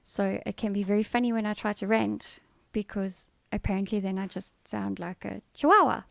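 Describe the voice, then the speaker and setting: A woman streamer with a soothing voice and a gravelly, creaky edge, talking casually to her chat. The sound is dry and close, with no room echo. She is chatty and playful, then firm when she shuts a topic down. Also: mid-range voice, normal speed. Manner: chatty and playful, breaking into firm repeated no's. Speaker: live streamer. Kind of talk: casual live-stream chat, answering viewers' comments.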